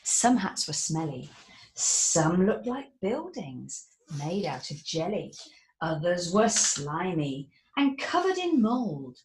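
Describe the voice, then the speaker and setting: A woman reading her own rhyming children's book aloud in a sing-song, expressive way, with dramatic whispers and gasps. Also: on the low side, slow, echoey room, slight background noise, rough recording.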